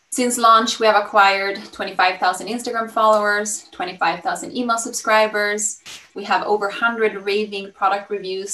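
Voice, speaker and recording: A woman's voice: mid-range voice, bright, forceful tone. Upbeat Swedish-accented woman on a video call. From Sweden. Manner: upbeat, fluent, with frequent ums. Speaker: startup founder pitching. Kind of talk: business pitch on a video call. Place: home room, indoors. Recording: roomy and slightly distant.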